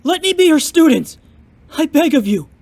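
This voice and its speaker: A man's voice: extremely high pitch, very animated, normal speed, darkish tone, full character voice. A man playing an earnest, determined young martial arts student in an audio drama, with an emphatic way of speaking. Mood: pleading.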